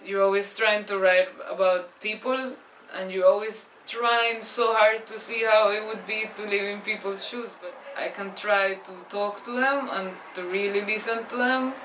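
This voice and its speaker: A woman's voice: medium pitch, steady tone, a bit bright, slight background noise. A woman with a mid-range voice speaks earnestly about her work as a journalist. She is recorded from a distance in an echoey room. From Colombia. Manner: earnest, quick.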